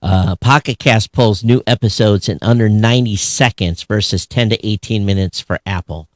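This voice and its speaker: A man's voice: fairly low pitch, moderate pace, no echo. Man co-hosting a casual show, dry close sound. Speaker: podcast co-host. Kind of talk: casual talk-show commentary on tech news.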